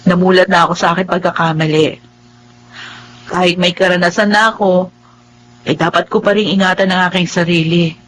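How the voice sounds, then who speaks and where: Low-pitched earnest Filipina young mother, played by an actress. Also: fairly even intonation, moderate pace, pauses often, fairly bright tone, slight background noise, lightly acted, rough recording. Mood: friendly. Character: remorseful young single mother, earnest and resolute. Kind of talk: radio drama acting and narration.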